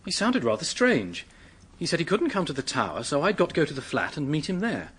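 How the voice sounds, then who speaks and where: An actor playing an earnest, polite young British secretary who is anxious and hesitant, in a radio drama. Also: male voice, a little high, very animated, brisk, a bit bright, breathy edge, heavily acted. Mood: puzzled, narrating. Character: earnest, flustered young English male secretary, helpful and anxious.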